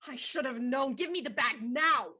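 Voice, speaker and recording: A woman's voice: high-pitched, moderate pace, sharp tone, husky, heavily acted. A woman playing an urgent, desperate police inspector who barks orders in an audio thriller. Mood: panicked.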